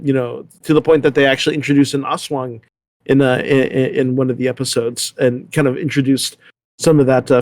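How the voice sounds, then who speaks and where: A man's voice: medium pitch, darkish tone, dry recording. A man speaking as a panelist in a discussion, thinking aloud with repeated words and checking in with "right?". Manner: halting, repeats words, seeks agreement with "right?".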